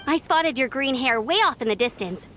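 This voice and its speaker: A woman's voice: high voice, expressive, moderate pace, a bit bright, a little hiss or hum. A woman voicing an upbeat, outgoing young woman in a video game, breezy, friendly and enthusiastic. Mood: excited, cheerful. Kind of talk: animated video game voice acting. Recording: music behind the voice. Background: game music.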